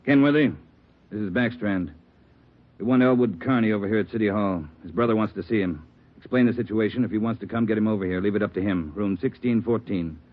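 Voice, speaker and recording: A brisk American police boss voiced by a man talks fast in a low, businesslike voice. He sounds like vintage radio drama, dull and lo-fi. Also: lightly acted. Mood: firm, businesslike. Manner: businesslike, clipped. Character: brisk, authoritative male police superior, negotiating calmly. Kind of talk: 1940s radio crime drama acting. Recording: dull 1940s radio recording.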